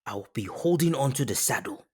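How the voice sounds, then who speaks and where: A woman playing an earnest, clever boy adventurer with a purposeful delivery, reading an animated series script. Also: rumbling low, brisk, slightly rough, full character voice. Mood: focused. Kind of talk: animated series script at a live table read.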